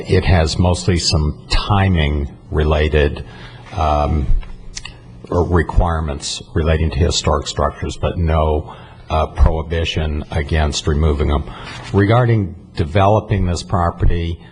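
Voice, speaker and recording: A man giving an unscripted staff report at a public meeting, speaking haltingly and repeating words. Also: low-pitched, a little mellow. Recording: noticeable background noise.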